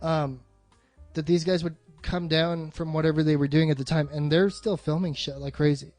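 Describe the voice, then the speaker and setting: Male podcast co-host, slightly high voice, dry close sound, sweary and opinionated film chat, faint music behind. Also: fairly even intonation, normal speed, a little mellow, no room sound. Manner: profane, emphatic, opinionated. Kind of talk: casual film discussion.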